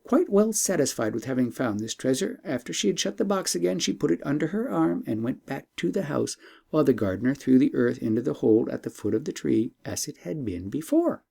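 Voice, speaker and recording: A man narrating an audiobook tale with expressive, carefully phrased, formal delivery. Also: medium pitch, a little fast, vocal fry, husky. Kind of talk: scripted storytelling narration.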